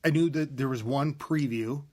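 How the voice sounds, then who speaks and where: A man's voice: mid-range voice, moderate pace, a little mellow. A man co-hosting a casual gaming podcast, rambling and restarting his sentences as he chats. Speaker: podcast co-host. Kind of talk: casual chat.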